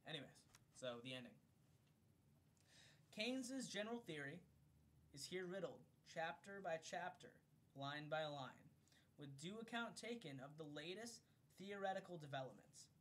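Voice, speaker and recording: Higher-pitched, slightly drunk man reading aloud. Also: lively intonation, moderate pace, a bit bright. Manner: declamatory when reading, loose and profane in asides. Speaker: amateur reader of a bedtime recording for a friend. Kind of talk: reading an economics text aloud, with casual asides. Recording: homemade recording with low rumbling noise.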